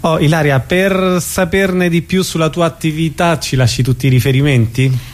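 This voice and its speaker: A man's voice: fairly high pitch, fairly even intonation, moderate pace. A man interviewing a guest in a conversational, informal way as a radio host.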